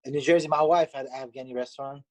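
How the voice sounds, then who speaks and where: A man from Canada, a pizzeria owner, answering interview questions in a halting way with many fillers. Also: mid-range voice, steady tone.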